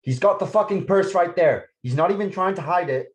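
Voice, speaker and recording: A man's voice: high-pitched, expressive, a little fast. A man playing a police officer in a scripted drama table read, with clipped, profane delivery. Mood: commanding, urgent. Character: police officer, forceful then dismayed.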